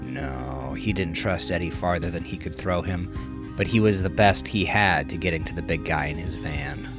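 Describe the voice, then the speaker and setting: Very deep-voiced man narrating dark fiction. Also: normal speed, music in the background.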